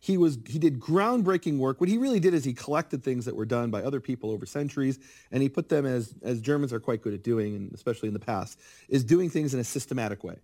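A middle-aged man speaking as a guest, explaining biblical history in a lively, conversational way and acting out quotes. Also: medium pitch, fast talker. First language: English. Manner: animated, acts out quoted speakers. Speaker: guest scholar of biblical Hebrew. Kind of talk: conversational interview, lecturing.

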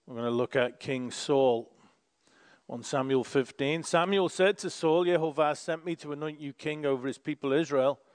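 A man's voice: medium pitch, big-room sound. A man reading scripture aloud and reflecting on it as a bible teacher. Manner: reflective, reads quotes with emphasis.

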